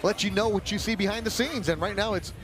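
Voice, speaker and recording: A male boxing commentator calls the action with a brisk, promotional delivery, his voice sitting a little high. Music plays clearly under the broadcast mix. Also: rough recording. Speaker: play-by-play commentator.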